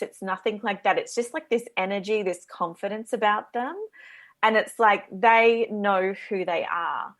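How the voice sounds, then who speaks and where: A woman's voice: medium pitch, fairly bright tone. A woman with an Australian accent, a guest coach speaking earnestly and eagerly in a radio interview.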